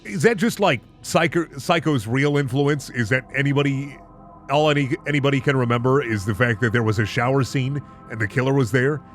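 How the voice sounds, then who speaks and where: An expressive man hosting a reading of bad fan fiction, with sardonic commentary in between. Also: mid-range voice, a little fast, soft background music. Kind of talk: dramatic reading with mocking commentary.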